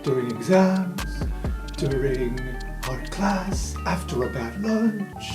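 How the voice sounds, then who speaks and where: A woman with a slightly low voice talks slowly, with lots of rise and fall, as she improvises dialogue in a roleplaying game. The sound is roomy. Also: lively intonation. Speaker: player in a tabletop roleplaying game. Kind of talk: improvised in-character chat. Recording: roomy, with music under the voice. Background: music.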